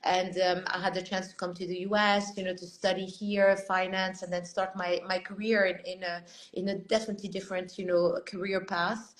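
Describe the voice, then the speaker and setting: A woman's voice: fairly low pitch, little pitch movement, a little fast, hardly any pauses, reverberant. A woman in her fifties from Morocco, a guest telling her life story in a reflective interview, with many "you know" fillers. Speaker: art fair founder, guest. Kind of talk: conversational interview.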